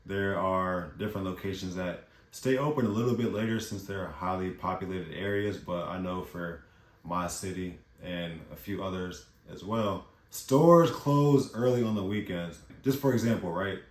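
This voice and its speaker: Man from the US, young adult, low voice, casual slangy vlog talk, roomy echo. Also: moderate pace. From United States. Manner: relaxed, chatty, slangy. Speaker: vlogger. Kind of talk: casual talking-head vlog. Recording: echoey room.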